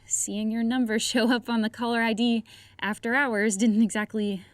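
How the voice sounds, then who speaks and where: A woman's voice: fairly high pitch, level tone, moderate pace, no room sound, lightly acted. A woman playing an anxious, hesitant young employee in an audio drama. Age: young adult. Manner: hesitant, nervous.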